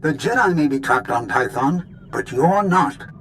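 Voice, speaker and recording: An actor playing a clever, grumbling scientist in a video game, wordy and precise. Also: male voice, on the high side, animated, unhurried, a bit bright, slight background noise. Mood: analytical. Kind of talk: scripted video game acting.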